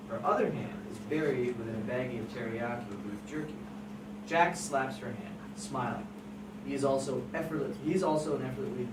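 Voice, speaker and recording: Man reading stage directions, distant and roomy. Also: medium pitch. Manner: occasionally stumbles and rereads words. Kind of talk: scripted narration at a table read. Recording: roomy, distant mic, heavy background noise.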